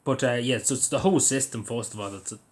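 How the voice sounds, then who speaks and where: A man's voice: medium pitch, quick pace. An Irish man giving his opinions in a casual vlog, rambling with frequent restarts and fillers. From Ireland. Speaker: vlogger. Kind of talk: opinion commentary to camera.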